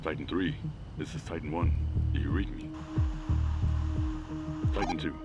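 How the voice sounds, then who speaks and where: A man playing a terse, commanding strike team leader in a tactical drama, gravelly and clipped. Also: a bass voice, steady tone, moderate pace. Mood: terse, alert. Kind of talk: tense tactical radio dialogue. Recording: dull lo-fi radio sound, loud music behind.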